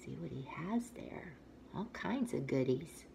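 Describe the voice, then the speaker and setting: A woman's voice: low voice, gravelly, a little hiss or hum. A woman teacher reading a children's picture book aloud expressively, stepping out of the story to talk to young listeners. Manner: expressive, pausing to ask listeners questions.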